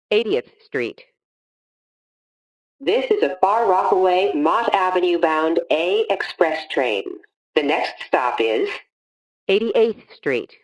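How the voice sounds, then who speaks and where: A woman reading scripted automated subway announcements in a formal, measured, phrase-by-phrase manner. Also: fairly low pitch, muffled recording.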